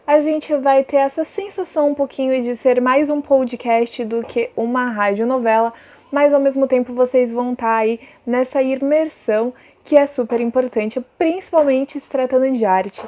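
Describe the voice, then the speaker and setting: High-voiced Brazilian woman teacher. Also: moderate pace, a little mellow. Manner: addresses pupils directly, rhetorical questions. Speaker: schoolteacher. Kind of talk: informal recorded lesson, with reading aloud from textbook.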